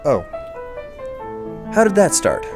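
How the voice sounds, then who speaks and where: A man's voice: fairly high pitch, huge pitch swings, slow pace, exaggerated voice. A man playing an awkward, unsure date in an audio drama, stilted and hesitant. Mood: awkward, nervous. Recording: piano music behind the voice.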